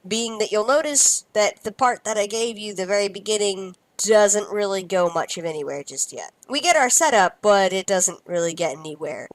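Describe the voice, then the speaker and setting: A woman's voice: fairly low pitch, no echo, poor audio. A woman hosting a review, giving conversational, critical commentary. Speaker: reviewer and host. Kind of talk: semi-scripted review commentary.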